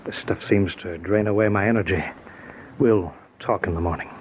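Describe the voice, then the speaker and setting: An actor playing a callous, vengeful killer in 1940s radio drama style, offhand and coolly dismissive in manner. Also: male voice, deep voice, normal speed, close to an everyday voice. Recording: muffled, noisy 1940s radio recording.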